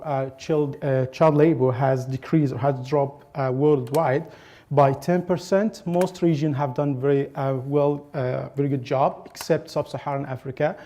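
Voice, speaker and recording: A man from Egypt giving an academic conference presentation, speaking in a halting way with frequent pauses and restarts. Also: on the high side, dark tone.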